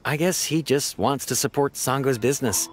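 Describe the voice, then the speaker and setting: A man's voice: mid-range voice, normal speed, natural voice. A man playing a good-natured, chatty police officer in a video game, speaking in a casual, confiding way. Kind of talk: video game character acting. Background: music.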